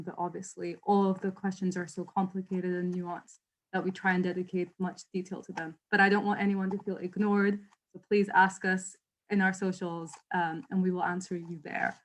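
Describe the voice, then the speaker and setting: A woman's voice: fairly low pitch, little pitch movement, brisk, fairly bright tone, rough recording. A woman on a panel speaking earnestly over a video call, searching for her words and often saying "like". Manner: earnest, searching phrasing with frequent "like". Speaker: panelist and poetry reader.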